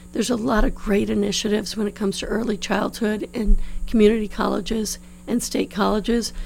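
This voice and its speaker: Slightly hoarse, creaky middle-aged woman. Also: medium pitch, darkish tone, low-quality recording. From New Orleans, United States. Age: 50s. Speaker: political candidate being interviewed. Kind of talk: conversational interview answers. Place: radio studio. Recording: clear background hum and noise.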